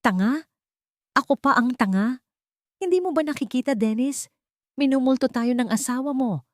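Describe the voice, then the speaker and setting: A woman's voice: high voice, expressive, moderate pace, no echo, studio quality, voice pushed up. A woman voicing a hysterical mistress in an audio drama, raving and trembling with fear. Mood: indignant. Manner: screaming, trembling outbursts.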